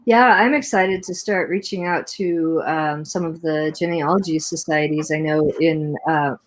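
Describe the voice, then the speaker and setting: A chatty American woman hosts a relaxed online chat about family history. Her voice sits a little low, and she talks in an encouraging, rambling way, full of fillers and restarts. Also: unhurried. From Indiana, USA. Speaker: host. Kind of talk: casual video chat.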